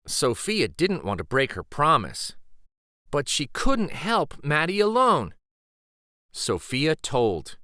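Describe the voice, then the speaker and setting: A man reading a children's picture book aloud in an expressive, deliberate, emphatic storytime style. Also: a little high, no room sound, studio quality. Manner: deliberate, animated, emphatic phrasing.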